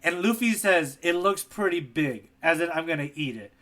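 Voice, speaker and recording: A man's voice: a little high, expressive, normal speed, pushed and bright. A man co-hosting a podcast in casual conversation, talking in a playful, storytelling way. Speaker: podcast co-host, tour guide. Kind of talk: casual chat, manga readalong podcast.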